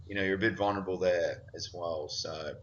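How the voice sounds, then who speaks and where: A man's voice: low voice, steady tone, a little fast. A man hosting a casual trading chat, sounding nasal and stuffy from hay fever, rambling with lots of fillers. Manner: chatty, rambling with many fillers. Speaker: podcast host. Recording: dull lo-fi recording.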